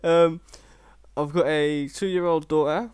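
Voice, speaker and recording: A man's voice: fairly high pitch, fairly even intonation, takes it slowly, a little mellow, clear, resonant tone, no room sound. A man in his early twenties from England, giving brief, dry answers as a podcast co-host. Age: 20s. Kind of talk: casual chat.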